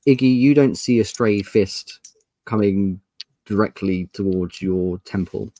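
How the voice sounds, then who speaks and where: A man running a tabletop roleplaying game, narrating scenes in a measured, descriptive and polite way. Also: on the low side, warm tone, no echo. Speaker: game master.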